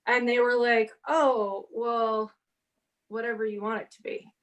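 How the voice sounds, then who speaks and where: A woman in her forties speaks on a convention panel, her first language being Portuguese. She tells her story with energy and acts out the conversations she quotes. Her voice sits a little high for a woman. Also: flat delivery, unhurried, muffled recording. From half Brazilian, grew up speaking Portuguese. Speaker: panelist author. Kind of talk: casual panel talk.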